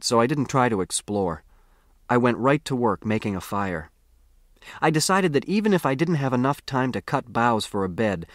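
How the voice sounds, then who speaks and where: A man's voice: fairly low pitch, no echo, studio quality. A man with an American accent narrates a first-person story expressively, like a boy telling his own adventure in a conversational way. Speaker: audiobook narrator.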